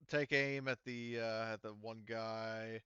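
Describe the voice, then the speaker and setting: A man's voice: on the low side, little pitch movement, slow, pushed and bright, clear, resonant tone, no echo, highs cut off. A man casually narrating a tabletop game as game master, thinking aloud through the rules in an informal way.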